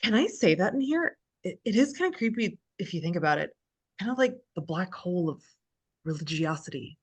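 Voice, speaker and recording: A woman's voice: fairly low pitch, sing-song, fairly quick, breathy edge. A woman playing a sarcastic, irreverent female show host in a wry, exasperated manner at a comedy table read.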